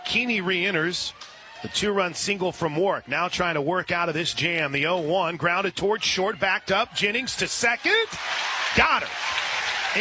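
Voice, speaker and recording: An American man working as a radio play-by-play announcer, delivering softball commentary and sponsor reads in clipped, punchy phrases. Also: high-pitched, noisy background.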